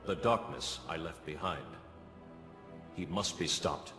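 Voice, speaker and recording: An actor playing the solemn spirit of a fallen Jedi master in a game cutscene, grave and measured. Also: male voice, sits low. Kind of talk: video game cutscene acting. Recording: echoing voice over game music.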